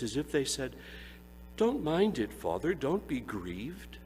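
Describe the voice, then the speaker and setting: A man narrating a dramatic reading of a classic story in an expressive, measured way. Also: lowish voice. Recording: hall reverb, faint mains hum.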